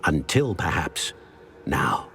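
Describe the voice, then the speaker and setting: An older actor playing a solemn ancient wizard in a video game, speaking in a formal, measured, grave manner. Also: male voice, very deep voice, big pitch swings, creaky, a bit bright, full character voice. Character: solemn ancient wizard, keeper of secret magical knowledge. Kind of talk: video game cutscene acting. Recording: music behind.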